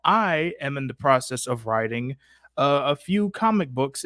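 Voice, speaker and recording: A man's voice: mid-range voice, a bit bright, no echo, clean studio sound. A man hosting a live stream, chatting casually in an informal, slangy way.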